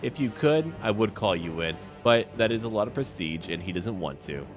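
A man's voice: fairly low pitch, expressive, moderate pace. A man giving casual commentary over a strategy game, talking to himself in short remarks and reading bits aloud. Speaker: gaming video host. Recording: music behind the voice. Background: background music.

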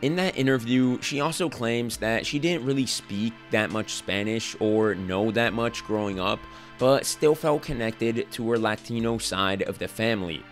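A young man talks through a scripted commentary with a slightly hoarse, worn-down voice. His delivery is casual and loose, full of "like" fillers, at an ordinary middle pitch. Soft music plays faintly under him. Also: normal speed, few pauses. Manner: casual, filler-heavy with frequent "like". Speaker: commentary YouTuber. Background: soft background music.

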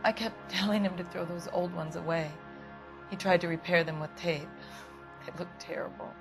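Casting: woman to play prim, anxious American housewife, middle-aged, defensive and quick to take offence, game drama, clear music bed. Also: fairly low pitch, fairly even intonation, natural voice. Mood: bitter, anxious. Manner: defensive, easily affronted. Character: prim, anxious, indignant wife of a missing man. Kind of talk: dramatic game cutscene acting.

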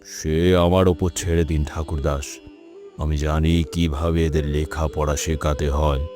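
A man's voice: very deep voice, flat delivery, a little slow, over music. A man playing a firm, self-assured village schoolmaster in a cartoon, formal and authoritative. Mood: stern.

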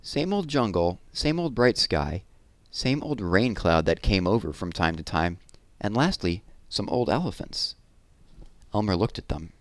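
A man in his thirties reading a children's story aloud expressively, with deliberate pauses and drawn-out words, on a headset mic. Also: lowish voice, sing-song, dry recording. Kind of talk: children's read-aloud narration. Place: small room at home, indoors.

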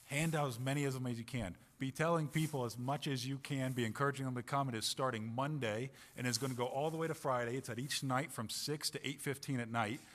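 A man playing a swaggering Australian adventurer with a pet koala, in a put-on Australian accent, pitching an event to a church crowd. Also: steady tone, quick pace, hardly any pauses, lightly acted. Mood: upbeat. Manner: hearty, upbeat, pitching to kids. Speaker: Vacation Bible School promoter. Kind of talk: costumed church announcement.

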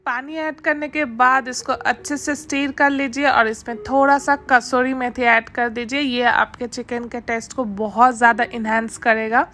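A woman hosting her home cooking channel, giving steady step-by-step instructions in a voice-over and mixing English words into her speech. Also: fairly high pitch, moderate pace, hardly any pauses.

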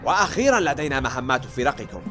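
A man's voice: mid-range voice, big pitch swings, over loud music, natural voice. A man playing a composed, authoritative commander of an elite unit in a dubbed cartoon. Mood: composed, firm. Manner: brisk, authoritative. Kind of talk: dubbed animation acting.

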